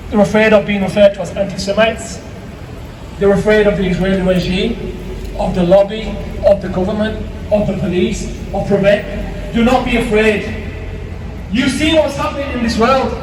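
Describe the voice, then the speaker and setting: A man with a husky voice giving a forceful, exhorting speech at a cold outdoor rally. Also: high voice. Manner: forceful, exhorting, repeated appeals. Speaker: rally speaker and chant leader. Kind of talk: rousing protest speech. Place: outdoor street rally in winter. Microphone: camera in the crowd, at a distance. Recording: echoey, distant, with loud crowd and street noise.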